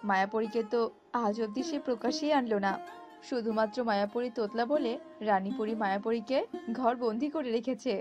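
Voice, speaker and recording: A woman's voice: a little high, normal speed, muffled recording, music in the background, close to an everyday voice. A woman voicing a protective elder fairy mother in a cartoon, righteous and resolute, with a motherly manner. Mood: troubled, indignant. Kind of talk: cartoon voice acting.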